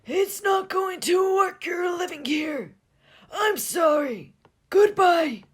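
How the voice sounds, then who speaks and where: A woman's voice: very high voice, expressive, takes it slowly, voice pushed up, full character voice. A woman voicing a shaky old male ghost captain, fed up with the racket. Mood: shouting.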